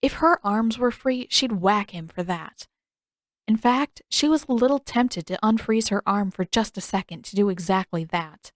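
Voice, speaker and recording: A woman narrates scripted fiction in an expressive storytelling manner. Her pitch sits in the middle for a woman. The recording is close and dry, with hardly any room sound. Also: moderate pace, dry recording. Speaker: audiobook narrator.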